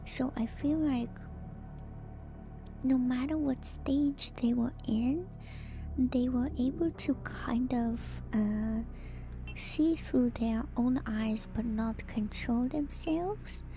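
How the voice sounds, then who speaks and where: A woman streamer giving soft, breathy, often whispered ASMR commentary on a horror game, musing and pausing often to think, close to the mic. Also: sits high, faint music behind. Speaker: streamer, virtual YouTuber host.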